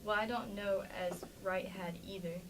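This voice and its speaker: A woman's voice: mid-range voice, steady tone, unhurried, close to an everyday voice. A woman playing a plainspoken, defensive farmer's wife in an amateur staged play reading. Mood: guarded, defensive. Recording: distant mic with faint background noise.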